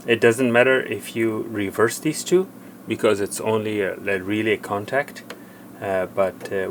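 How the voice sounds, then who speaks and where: Man, deeper voice, unscripted how-to demonstration, halting step-by-step delivery, slight background hum. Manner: halting, plain step-by-step explaining. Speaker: tech tutorial presenter. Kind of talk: hands-on product demonstration, unscripted.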